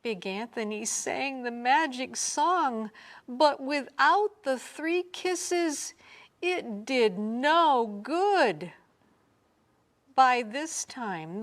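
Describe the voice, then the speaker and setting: A woman reading a children's storybook aloud in an expressive, theatrical way, sometimes dropping to a hush. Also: high-pitched. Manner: expressive, theatrical, drawn-out phrasing with hushed drops. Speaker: storybook reader. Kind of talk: dramatic children's read-aloud narration.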